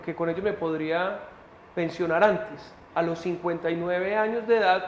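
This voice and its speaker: A Colombian man in his fifties co-hosting a talk show, asking chatty questions and repeating himself as he uses his own life as an example. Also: sits high. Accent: Colombian Spanish. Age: 50s. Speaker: co-host. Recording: echoey studio with faint noise.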